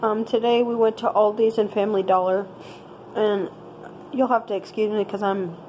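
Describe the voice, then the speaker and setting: A woman with a stuffed nose, speaking in a plain, unhurried way, recorded on a handheld phone in her home kitchen. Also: medium pitch, little pitch movement, warm tone, slight background noise, rough recording. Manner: plain listing, unhurried, unscripted. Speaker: family channel host. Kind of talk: grocery haul show-and-tell. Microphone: handheld phone camera.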